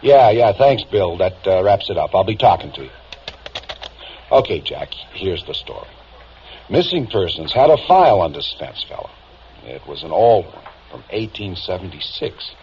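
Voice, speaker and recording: A weary police captain talks in a low, very lively voice, brusque and exasperated. It is vintage radio drama acting, heard through a muffled, lightly hissy recording. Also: male voice, moderate pace. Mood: brisk, businesslike.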